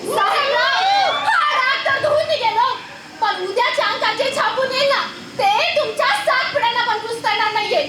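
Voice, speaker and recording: A woman's voice: extremely high pitch, animated, normal speed. A woman playing a fierce, grieving widow in a stage play, impassioned and declamatory, with a Maharashtrian accent. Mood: defiant. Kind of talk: theatrical Marathi stage acting. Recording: distant, noisy, echoey stage recording.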